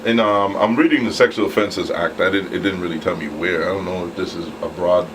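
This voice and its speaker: A man co-hosting a talk show, asking questions casually and haltingly. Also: low voice, steady tone. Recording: distant mic, clearly noisy room with hum.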